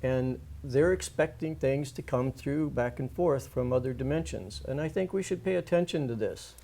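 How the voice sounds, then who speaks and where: Mellow-voiced man in earnest radio talk. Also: medium pitch, normal speed, creaky. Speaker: alternative-media guest and writer. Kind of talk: unscripted radio interview talk.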